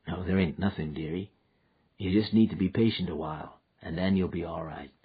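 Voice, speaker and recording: A tired frontier man murmurs in a low, husky drawl, soft and patient with the little one beside him, as a male reader plays him. The voice sits deep and rough, and the recording is slightly dull and lo-fi. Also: steady tone, a little fast. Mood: gentle. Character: weary frontier man, gentle with the child.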